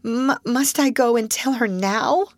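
Woman doing a timid tearful sister, stammering, squeaky high, swooping. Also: sing-song, unhurried, dry recording, heavily acted. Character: timid, flustered older woman, a school-mistress's meek sister.

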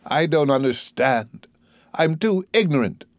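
A man's voice: fairly high pitch, lively intonation, a little slow, no echo, studio quality, pitched above the performer's own voice. A man voicing a proud young working-class woman, emotional, bitter and defiant, in a play reading. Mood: despairing.

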